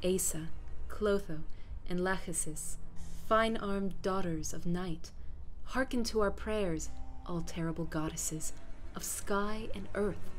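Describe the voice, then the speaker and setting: A woman reciting old poetry and verse in a solemn, measured, theatrical way. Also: lowish voice, faint music behind. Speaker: guest quote reader.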